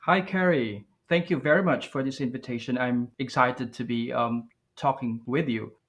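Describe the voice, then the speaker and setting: A man's voice: mid-range voice, normal speed, a bit bright. A man from the Philippines whose first language is Aklanon, speaking as a guest researcher in a podcast interview, thoughtful, with many fillers and restarts.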